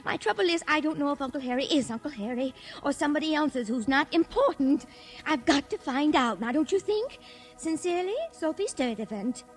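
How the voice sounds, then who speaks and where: A woman's voice: high voice, animated, brisk, over music. An actress playing a fussy, insistent, anxious American countrywoman reading out a letter in 1940s radio style. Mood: anxious, pleading. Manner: fussy, emphatic.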